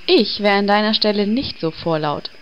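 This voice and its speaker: A woman's voice: medium pitch, expressive, moderate pace, dull audio, a little hiss or hum, close to an everyday voice. A woman playing a vain elf turned undead, snippy and offended. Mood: indignant. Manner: indignant, snippy.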